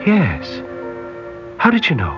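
A man's voice: a little high, wildly swooping pitch, slow pace, heavily acted. An actor playing a polite, hesitant nineteen-year-old seaman from Northern Ireland in an old radio drama. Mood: wondering. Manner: polite, hesitant, formal British phrasing. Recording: dull old radio recording, music behind.

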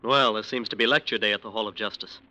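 A confident young lawyer, clipped and guarded in an old radio drama. He talks fast, with a very sharp, forceful tone. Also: on the low side. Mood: brusque. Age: young adult. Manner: clipped, polite but brusque. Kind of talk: 1940s radio drama acting.

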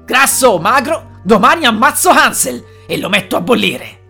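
A cruel old storybook witch, half-blind and mean, barking orders in a high, loud, scolding voice, as a woman narrator puts her on. Her shouts ring out over the tale. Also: sing-song, normal speed, creaky, husky, soft background music, full character voice. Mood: shouting.

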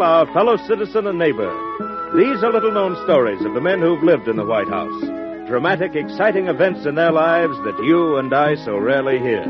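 A man with an American accent giving dramatic scripted narration in old-time radio style. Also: a little high. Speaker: radio show announcer and narrator. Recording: dull vintage radio recording, loud music.

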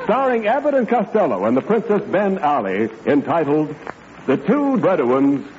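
A pompous old-time radio announcer, declaiming floridly in a lively, high-pitched voice. It is a dull 1940s comedy recording with crowd noise. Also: male voice, moderate pace. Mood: pompous, grand. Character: pompous mock-grand radio announcer of a desert saga. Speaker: announcer and bit player. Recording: muffled old radio recording, studio audience.

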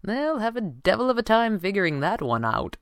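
Woman as a wry gentleman, lively intonation. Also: medium pitch, fairly quick, no room sound, clean studio sound, voice pushed up. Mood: amused, restrained. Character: wry, composed gentleman employer, adult man.

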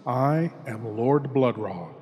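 Man acting a slow, cavernous, sinister horror host. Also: medium pitch, animated, a little mellow. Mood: eerie, intimate. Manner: dramatic, deliberate, portentous pauses. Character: sinister horror host lord, grandly eerie and intimate. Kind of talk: theatrical scripted horror introduction. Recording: long cavernous reverb.

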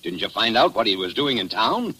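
Crabby, lively-pitched official. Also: male voice, a little fast, full character voice. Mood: irritated, suspicious. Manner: gruff, confrontational. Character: crabby, suspicious male small-town official. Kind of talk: 1940s radio drama acting. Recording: noisy old radio broadcast recording.

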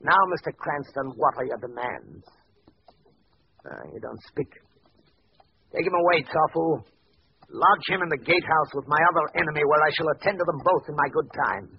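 An actor playing a sinister, suave mad doctor in an old radio drama, speaking in a smooth, menacing, theatrical way. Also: male voice, fairly high pitch, normal speed. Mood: gloating. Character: sinister middle-aged male mad doctor, suave and gloating. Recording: dull old radio recording with faint hiss.

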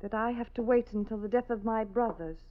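An actress playing an aggrieved, brooding wife and disinherited daughter in a vintage radio drama, with theatrical archaic phrasing. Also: female voice, on the high side, fairly quick, close to an everyday voice. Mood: bitter. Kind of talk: 1950s radio drama acting. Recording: dull old radio recording.

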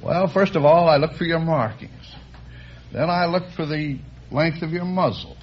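A man's voice: on the high side, moderate pace. A man answering conversationally as a contestant on a vintage radio quiz. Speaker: quiz show contestant, dog show judge. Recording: dull vintage radio recording with faint noise.

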